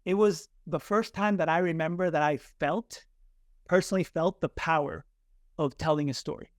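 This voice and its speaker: A man in his forties, raised first in Chile with Spanish as his mother tongue, recounts a childhood memory as a podcast guest. His voice sits a bit high and he animates the tale by putting on the voices of others. The sound is dry and close, with no room around it. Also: moderate pace, dry recording. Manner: animated, acts out quoted voices. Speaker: guest, communication coach. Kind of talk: storytelling in a podcast interview.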